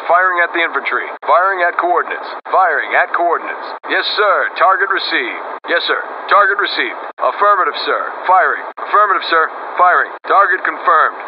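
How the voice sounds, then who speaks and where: A man's voice: a little high, close to an everyday voice. An actor playing a dutiful American artillery crewman in a military video game, speaking in terse, clipped reports. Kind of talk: military game unit acknowledgements. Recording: muffled radio-style audio over clear vehicle noise.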